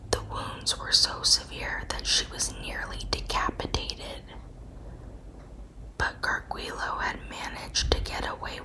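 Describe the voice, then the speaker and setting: A woman narrating a scripted true crime story in a breathy, unvoiced ASMR whisper, with measured, deliberate phrasing. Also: bright, forceful tone, low-quality recording.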